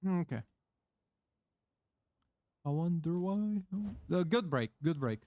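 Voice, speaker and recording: A man streaming from his home setup, reading a product manual aloud haltingly into a close condenser microphone, with many fillers and offhand swearing. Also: a little high, lively intonation, mellow, dry recording. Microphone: condenser microphone with pop filter, close.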